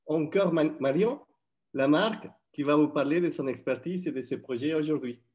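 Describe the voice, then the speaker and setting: A man from Mexico with a Mexican accent, co-hosting a webinar and presenting in a friendly, slightly halting way over a video call. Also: fairly high pitch, a little mellow. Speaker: webinar co-host.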